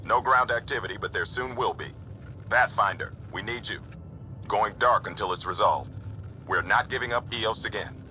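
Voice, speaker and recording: A man's voice: lowish voice, normal speed, sharp tone, a little hiss or hum. A man playing a serious, terse leader of a frontier outpost in a video game. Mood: urgent. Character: gruff, dutiful outpost leader, guarded and serious.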